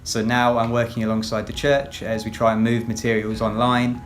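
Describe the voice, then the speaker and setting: English man speaking in measured tones. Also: mid-range voice, completely flat delivery, soft background music. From England, West Sussex. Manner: measured, with frequent fillers. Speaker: children's pastor. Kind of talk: recorded personal update.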